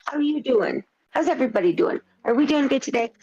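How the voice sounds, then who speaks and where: A woman co-hosting a casual podcast, halting with many fillers and quick to laugh. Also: high-pitched, expressive, darkish tone, slightly rough. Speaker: podcast co-host. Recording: dull lo-fi sound with faint music.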